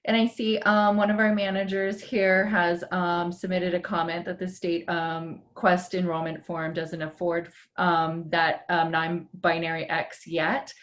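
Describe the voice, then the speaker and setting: A woman from Hawaii moderating a panel webinar over a video call, speaking in a measured way with frequent pauses. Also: on the low side.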